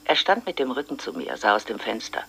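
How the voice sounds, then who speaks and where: An actor playing a sheriff's spouse, giving an anxious eyewitness account in a documentary radio drama. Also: male voice, medium pitch, sing-song, brisk, vocal fry, pushed and bright, husky, heavily acted. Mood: matter-of-fact recollection. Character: anxious sheriff's spouse recalling the prisoners' arrival.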